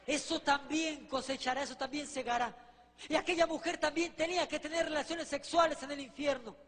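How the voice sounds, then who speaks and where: A man with an extremely high voice delivers a sermon as vivid testimony. His manner is fervent, punctuated by rhetorical questions to his listeners. Long reverberation from a large hall trails his words. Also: fairly even intonation, fairly quick, fairly bright tone. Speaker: preacher giving a testimony.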